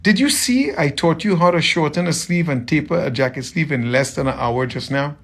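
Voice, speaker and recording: A man from Trinidad with a softened Trinidadian accent, chatting casually with viewers on a livestream as a tailor, friendly and often repeating himself. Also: fairly high pitch, a little fast, gravelly. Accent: Trinidadian, softened toward American. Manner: friendly, repeats phrases, greets viewers by name.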